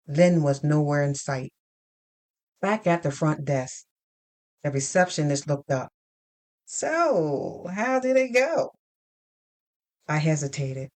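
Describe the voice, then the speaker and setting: Woman telling a dramatic story, low voice, takes her time, scripted fiction narration. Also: a little mellow. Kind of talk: scripted first-person audio fiction narration.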